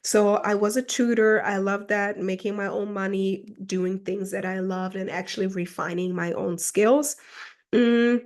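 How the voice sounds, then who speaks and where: A woman's voice: medium pitch, fairly even intonation, measured pace, darkish tone. A woman from Germany talking as a personal mentor in a casual vlog monologue, chatty and reflective, with lots of "like" fillers. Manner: chatty, reflective, fills speech with "like".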